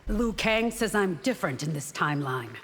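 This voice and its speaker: Low-voiced, determined bodyguard warrior. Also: female voice, lively intonation, normal speed, fairly bright tone. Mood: determined, urgent. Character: devoted, determined female royal bodyguard warrior. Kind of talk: video game character acting. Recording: clear background noise.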